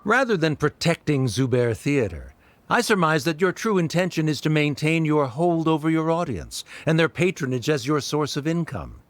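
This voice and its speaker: A man's voice: a little high, big pitch swings, normal speed. A man voicing a haughty academic official who argues with cold, formal condescension. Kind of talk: game voice acting.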